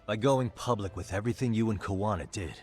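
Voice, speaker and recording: A man doing a probing game detective, deep low voice, steady pressing delivery, faint music behind. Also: quick pace, natural voice. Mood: stern. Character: determined male detective, calm and probing. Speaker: detective in cutscene. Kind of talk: game voice acting, dub.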